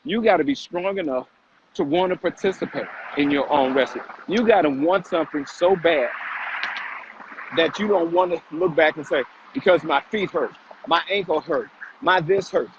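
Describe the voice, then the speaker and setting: A man giving emphatic, exhorting health talk while walking briskly, repeating phrases to drive them home. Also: fairly high pitch. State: exercising at a brisk walk while talking. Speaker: fitness vlog co-host. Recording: muffled audio with clear background noise.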